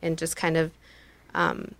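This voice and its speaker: A woman's voice: low voice, big pitch swings, unhurried, creaky, fairly bright tone, no echo. A woman from Mexico whose first language is Spanish, answering interview questions in a chatty way, full of "you know" and "um". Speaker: guest, business owner.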